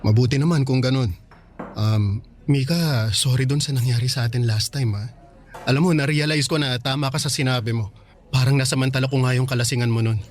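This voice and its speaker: A man's voice: mid-range voice, steady tone, fairly quick, lightly acted. Casting: actor as considerate young man with a tender crush, Filipino accent, hesitant radio-drama delivery, faint music behind. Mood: sorry, sincere. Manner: hesitant, polite.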